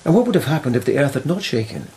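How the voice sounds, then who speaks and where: An actor playing a shrewd court investigator in a radio period drama, measured, wry and insinuating. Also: male voice, mid-range voice, sing-song, warm tone, a little hiss or hum. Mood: sardonic.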